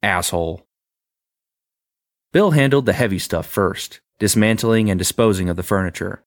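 A man reading a short story aloud in plain, even narration. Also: fairly low pitch, brisk, no echo, clean studio sound. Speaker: story reader.

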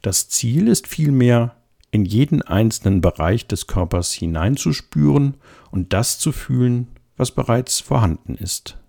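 A man calmly giving an introduction to a body scan meditation, speaking slowly and gently. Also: mid-range voice, creaky. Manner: slow, gentle, soothing. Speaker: meditation guide.

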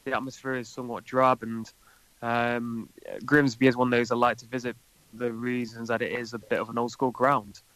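A man in a casual podcast chat talks at a middling pitch. He hesitates often and fills his pauses with ums and "you know.". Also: flat delivery, a bit bright, no room sound, poor audio. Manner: hesitant, with many fillers. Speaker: guest football fan.

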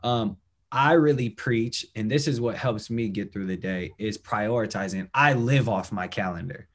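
A young man with a lowish voice hosting a casual podcast. He chats in a friendly, loose way, full of fillers and easy affirmations. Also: moderate pace. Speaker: podcast host. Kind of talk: casual interview chat.

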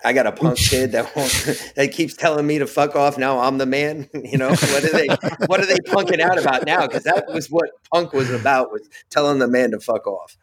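A middle-aged man talking casually as a podcast guest, animated and sweary as he tells stories. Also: mid-range voice, moderate pace, hardly any pauses.

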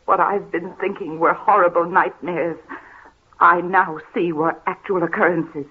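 An actress playing a frightened, tormented heiress in a 1930s gothic radio mystery, with halting, dramatic delivery. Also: female voice, lowish voice, fairly even intonation, slightly rough. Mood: anguished, confessing. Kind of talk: 1930s old time radio acting. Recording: muffled, scratchy 1930s radio recording.

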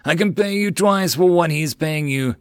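A man's voice: a little high, moderate pace, clean tone, no room sound, studio quality, voice pushed up, lightly acted. A man voicing a lanky, desperate middle-aged male merchant who pants and stammers as he pleads. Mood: pleading.

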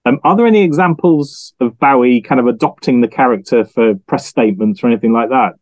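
A man's voice: medium pitch, fairly quick, darkish tone, dry recording. A man, chatting casually as a panellist in a music discussion. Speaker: podcast panellist.

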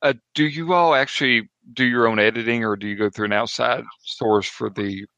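A man's voice: mid-range voice, steady tone, brisk, bright, forceful tone, no echo. A man hosting a podcast interview in an informal, joking way. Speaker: podcast host. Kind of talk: casual interview chat.

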